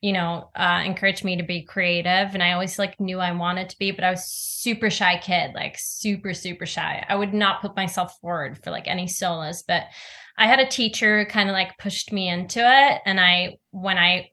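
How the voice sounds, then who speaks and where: Bright-voiced Norwegian-raised woman, chatty. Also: fairly low pitch, steady tone, moderate pace. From Norway. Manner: chatty, full of "like", laughs easily. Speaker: actor guest. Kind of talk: casual interview chat.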